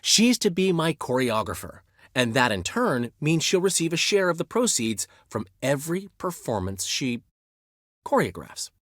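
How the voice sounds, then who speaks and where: A man's voice: on the high side, sing-song, moderate pace, a bit bright, no echo, clean studio sound. An actor playing a flamboyant, vain and witty middle-aged male bard in a video game, teasing and theatrical with a showman's flourish. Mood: wry. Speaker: game character. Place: recording studio. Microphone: close studio mic.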